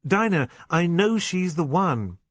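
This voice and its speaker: A man voicing an anxious, intense young English gentleman in an audiobook novel, urgent and well-bred. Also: mid-range voice, sing-song, slow, no room sound, lo-fi sound, voice pushed up. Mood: urgent, certain.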